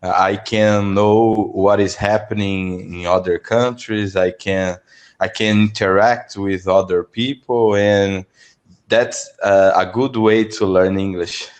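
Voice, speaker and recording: A young Brazilian man, a native Portuguese speaker, talking haltingly with many restarts in an online English lesson over a video call. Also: fairly low pitch, fairly even intonation. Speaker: English learner. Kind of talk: online language lesson conversation.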